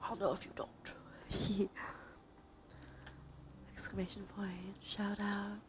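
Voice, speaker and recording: A woman with a stuffy nose doing a cozy, gentle ASMR stream, chatting casually in a soft, breathy voice that often drops into whispers. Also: mid-range voice, level tone, slow. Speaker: livestreamer hosting an ASMR stream. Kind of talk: cozy ASMR, casual chat while gaming. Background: soft ambient soundscape.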